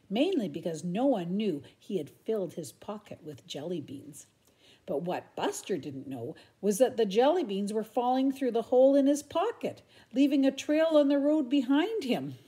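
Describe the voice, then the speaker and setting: An older woman reads a children's story aloud at home. Her delivery is expressive and animated, with a soft, mellow tone. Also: on the high side. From Canada. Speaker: storybook reader. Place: room at home.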